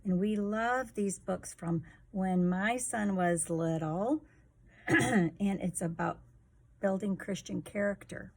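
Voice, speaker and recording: Female storytime reader, unhurried and expressive, creaky husky tone, rhythmic read of rhyming picture-book lines. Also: medium pitch.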